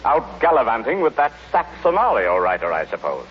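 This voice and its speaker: A man's voice: mid-range voice, big pitch swings, brisk, breathy edge. An actor playing an exasperated American husband in his fifties in an old radio comedy, stammering when riled, on a muffled, hissy recording. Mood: grumbling. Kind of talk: 1930s-40s radio comedy acting.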